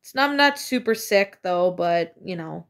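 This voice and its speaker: A woman's voice: lowish voice, animated, normal speed, gravelly, a bit bright. A woman casually commenting on her own gameplay, chatty and rambling with many fillers, slightly congested from a cold. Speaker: let's play gamer.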